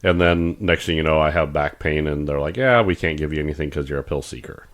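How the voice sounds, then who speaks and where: Middle-aged male podcast co-host, extremely low voice, casual and rambling, stumbles and restarts. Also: quick pace. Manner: rambling, repeats words and restarts, animated when retelling doctors. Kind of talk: casual chat.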